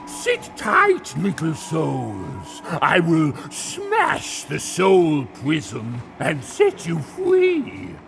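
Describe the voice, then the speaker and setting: An actor voicing a gleefully devout, sinister plague sorcerer in a fantasy game, savouring archaic words in a gravelly, theatrical voice. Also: male voice, on the high side, wildly swooping pitch, very slow, few pauses, over music, heavily acted. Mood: defiant, doting. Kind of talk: fantasy game villain acting.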